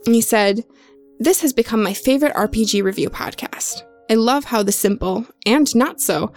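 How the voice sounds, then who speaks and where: A woman's voice: on the high side, creaky. A woman co-hosting a podcast with casual, playful, teasing banter and announcements. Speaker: podcast co-host.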